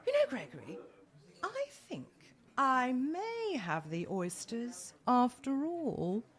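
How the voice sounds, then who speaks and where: A woman's voice: fairly high pitch, huge pitch swings, slow, heavily acted. A woman acting in a radio play as an affected, gushing dinner date with a theatrical, coquettish manner. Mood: gushing, coy.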